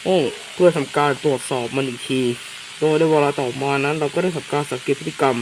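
A man playing a solemn government announcer, formally reading a measured emergency warning for an analog horror broadcast. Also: fairly high pitch, close to an everyday voice. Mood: solemn, official. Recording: clearly noisy hissing background.

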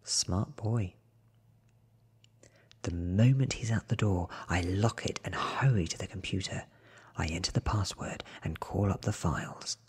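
Breathy whispering man reading a story. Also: sits low, unhurried. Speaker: ASMR book reader. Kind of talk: soft-spoken ASMR story reading. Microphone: close studio microphone.

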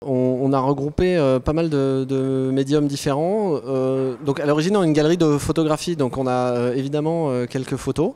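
A man in his thirties, a gallery co-owner, answering an interview in a small gallery with many hesitant pauses into a handheld mic. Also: mid-range voice, mellow, clear, resonant tone. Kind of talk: on-camera interview. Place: small art gallery indoors.

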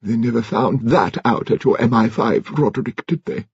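A man voicing an imperious, frank, stout elderly English noblewoman, speaking in a grand, emphatic, deliberate way for an audiobook. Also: mid-range voice, slightly rough, no room sound, highs cut off, voice pushed up. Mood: frank, bitter.

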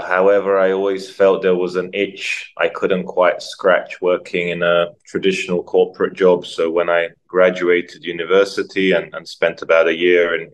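A man talks as a podcast guest. His voice sits low and stays fairly flat. He speaks reflectively and often stops to repeat words. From Croatia, raised in London. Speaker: guest, nonprofit founder. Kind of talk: casual interview talk.

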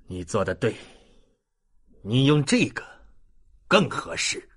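A man's voice: mid-range voice, very animated, gravelly, fairly bright tone, husky, heavily acted. A man voicing grave, proud men from a historical novel in a weighty, dramatic manner. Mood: bitter, defiant. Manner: slow, dramatic, weighty delivery.

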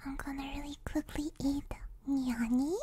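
Soft, very high-pitched girlish woman, often whispering. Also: fairly even intonation, moderate pace, a little hiss or hum. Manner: cutesy, anime-style girlish delivery with Japanese catchphrases. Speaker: ASMR streamer. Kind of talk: ASMR, casual livestream chat. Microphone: close binaural ASMR microphone.

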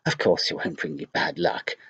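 A man voicing a strict but kindly woman schoolteacher in a storybook, firm and brisk. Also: low voice, big pitch swings, vocal fry, fairly bright tone, slightly rough, no room sound, highs cut off, exaggerated voice.

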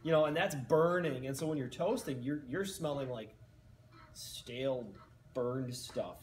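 Deadpan man doing mock cooking commentary. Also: medium pitch, a little slow, slight background noise. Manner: deadpan, earnest infomercial tone. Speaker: co-host. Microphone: headset.

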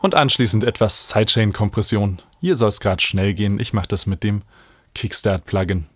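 A man, explaining music software informally as he demonstrates it in a tutorial. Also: on the low side, moderate pace. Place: small indoor home studio. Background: electronic music he is working on.

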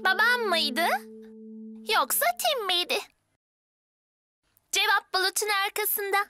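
A woman playing an eager, cheerful little girl twin in a dubbed children's TV drama, excitable and childlike. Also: extremely high pitch, takes it slowly, dry recording, clean studio sound, heavily acted. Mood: cheerful presenting. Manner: bright-eyed, excitable, childlike. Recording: music underneath.

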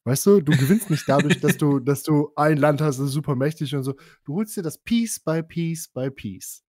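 A man chatting casually on a podcast, excitable and crude, swearing and mixing in English slang. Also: a little high, moderate pace, dry recording, studio quality. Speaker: podcast host. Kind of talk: casual chat.

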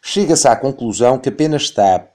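A man in his thirties with a European Portuguese accent, reading a critical radio commentary and reciting long figures in a measured, careful way. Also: medium pitch, animated, mellow. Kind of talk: scripted opinion commentary. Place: radio studio.

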